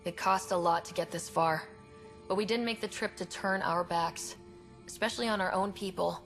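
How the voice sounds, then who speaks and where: A woman's voice: mid-range voice, normal speed, fairly bright tone, soft background music, lightly acted. An actress playing a determined young woman who leads an expedition, earnest and direct. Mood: rallying. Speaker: pathfinder.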